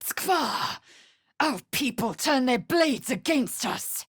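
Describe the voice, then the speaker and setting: An actress voicing a proud, fierce, scornful female alien warrior in a video game, with a husky, raspy voice and an imperious, clipped, deliberate way of speaking. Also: high-pitched, lively intonation, no echo, studio quality, full character voice. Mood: fierce, angry. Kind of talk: video game character voice lines.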